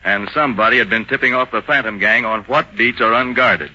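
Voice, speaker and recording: An actor playing a stern, indignant police chief, gruff and emphatic, in an old-time radio crime drama. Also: male voice, mid-range voice, brisk, pushed and bright. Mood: grim. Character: stern, indignant big-city police chief, adult man. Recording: muffled, noisy vintage radio recording.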